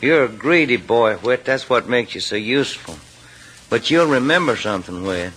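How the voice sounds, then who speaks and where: A man's voice: mid-range voice, expressive, moderate pace, a bit bright, husky, poor audio. A man playing a sly, controlling crime boss in old-time radio drama style, condescending to his partner, on a vintage recording. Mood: sly, menacing. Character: menacing, greedy crime boss and former boat owner. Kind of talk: 1940s-50s radio drama acting.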